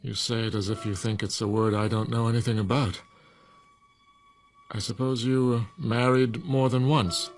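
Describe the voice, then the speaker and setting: An actor playing an old science professor in a radio drama, sceptical, proud and frightened, with a pointed, challenging manner. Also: male voice, mid-range voice, fairly even intonation, normal speed, close to an everyday voice. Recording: radio drama with music underneath.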